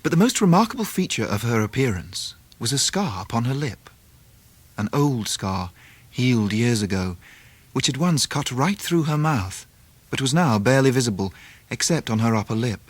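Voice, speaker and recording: An actor playing a polite, earnest young English gentleman who tells his own story in a radio drama. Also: medium pitch, sing-song, moderate pace, husky, dry recording. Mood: reflective narrating. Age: young adult. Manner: courteous, earnest, well-spoken. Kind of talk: 1990s radio drama acting, with narration.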